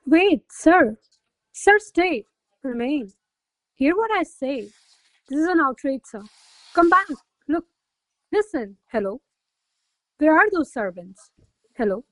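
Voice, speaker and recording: Woman acting a haughty, high-voiced, furious noblewoman. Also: big pitch swings, takes it slowly, long pauses, dry recording, full character voice, poor audio. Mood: outraged, urgent. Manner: haughty, commanding, theatrical exclamations. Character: imperious, hot-tempered noblewoman scolding her servants. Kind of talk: radio play acting of a classic comedy.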